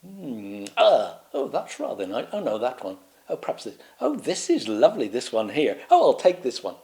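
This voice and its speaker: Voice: medium pitch, very animated, normal speed, pitched above the performer's own voice, natural voice. The storyteller voicing Sir Forget-a-lot, a forgetful knight who mutters and dithers to himself. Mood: dithering.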